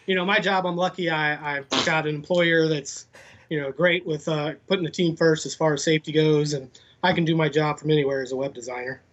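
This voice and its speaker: High-pitched man chatting, muffled sound. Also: fairly even intonation, a little fast, a bit bright. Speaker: guest, web designer and father. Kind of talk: casual chat.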